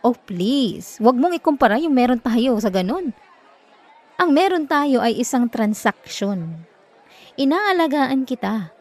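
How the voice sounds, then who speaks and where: A woman's voice: mid-range voice, a little mellow. A Filipino woman playing a possessive, obsessive young student bully in a roleplay audio drama, condescending and taunting, with dramatic swings in delivery. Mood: smug, condescending. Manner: condescending, taunting, dramatic swings from slow murmurs to exasperated bursts. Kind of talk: roleplay ASMR acting, direct address to listener.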